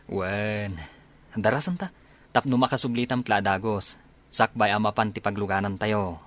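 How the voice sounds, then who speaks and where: An actor playing a young soldier in a radio drama, talking in a courteous, familiar way. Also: male voice, on the low side, fairly even intonation, moderate pace, fairly bright tone, close to an everyday voice. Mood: casual. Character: courteous young male soldier about to deploy.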